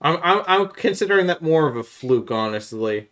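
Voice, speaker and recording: A man's voice: fairly high pitch, very animated, moderate pace, a bit bright. A man co-hosting a podcast in casual chat, making his points in an emphatic, explanatory way. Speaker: podcast co-host.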